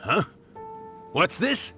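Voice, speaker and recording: An actor playing a mischievous male yokai spirit in a video game, theatrical and drawn-out, teasing like a stage villain. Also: loud music behind the voice. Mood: amused, sly. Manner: theatrical, drawn-out delivery with dramatic swells. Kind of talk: video game character acting.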